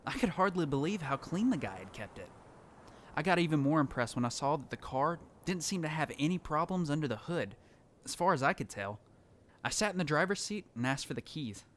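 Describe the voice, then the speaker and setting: Man as a higher-pitched apocalypse survivor narrator. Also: big pitch swings, fairly quick, fairly bright tone, slight background noise. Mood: reflective. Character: anxious male lone survivor narrating his apocalypse story. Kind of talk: dramatic first-person scripted narration. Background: music.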